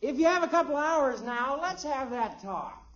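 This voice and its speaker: A man's voice: very high voice, animated, normal speed, very noisy. An actor playing an earnest, thrifty young American husband and father, polite and insistent, in 1950s radio comedy style. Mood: businesslike. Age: young adult. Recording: muffled 1950s radio recording.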